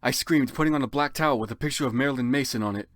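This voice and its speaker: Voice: medium pitch, racing, no echo, clean studio sound. A narrator giving a theatrical, exaggerated comedic reading of fan fiction.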